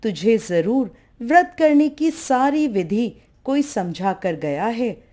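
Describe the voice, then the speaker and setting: A woman's voice: on the high side, big pitch swings, slow pace, mellow. A woman voicing an imperious mother goddess in a folk tale, with a chanting, commanding manner. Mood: stern, teasing.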